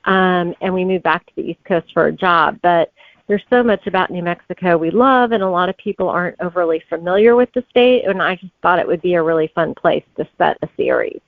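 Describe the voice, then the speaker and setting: A woman's voice: on the low side, fairly quick, creaky, no room sound, phone-quality sound. A woman answering conversationally as a guest author in an interview.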